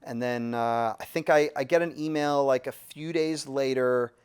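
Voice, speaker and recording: A man's voice: mid-range voice, normal speed, clean tone. A man, a music producer, casually telling a studio story with lots of fillers and restarts. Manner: relaxed, rambling with many fillers and restarts.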